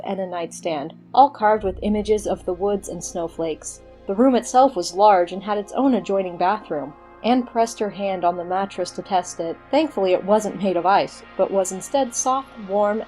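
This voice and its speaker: A woman's voice: medium pitch, mellow, rough recording. A woman working as a narrator, reading scripted material for an audio story production.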